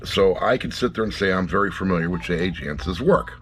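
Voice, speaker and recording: A man's voice: sits low, animated, fairly quick, a bit bright, a little hiss or hum. A middle-aged man giving a casual, rambling review to camera in a blunt, wry way, often repeating phrases for emphasis. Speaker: audiobook reviewer.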